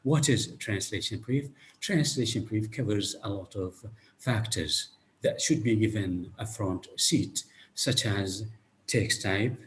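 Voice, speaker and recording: A man's voice: on the low side, a little slow, a little hiss or hum. A man from Iraq giving an online academic lecture, explaining step by step with rhetorical questions and checks like "okay?". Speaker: guest lecturer. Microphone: video call.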